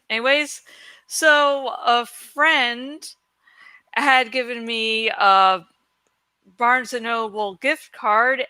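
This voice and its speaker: A woman's voice: a little high, pushed and bright, smooth, no room sound. A woman hosting a book reading channel, talking in a casual, rambling chat style.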